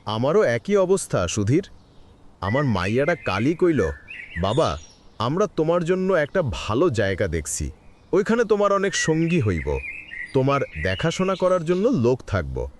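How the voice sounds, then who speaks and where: A man acts out a resigned old father in a melodramatic cartoon. His rural Bangladeshi Bengali speech is plaintive and reflective, swinging widely in pitch, with faint noise and birdsong behind it. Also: wildly swooping pitch, normal speed. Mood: hurt, sorrowful. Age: older. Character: sorrowful, resigned old father being sent away.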